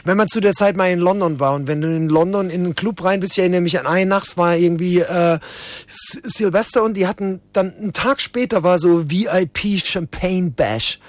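A man's voice: high-pitched, a little fast, no echo. A man telling anecdotes in a casual interview, often repeating and restarting his words as he goes. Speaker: interview guest, drum and bass musician and event participant.

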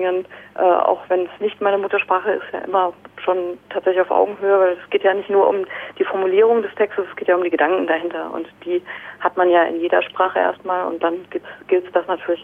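A woman's voice: mid-range voice, gravelly. A woman singer-songwriter talking as an interview guest, hesitant with many fillers and restarts, heard over a phone line. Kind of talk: casual radio interview by phone.

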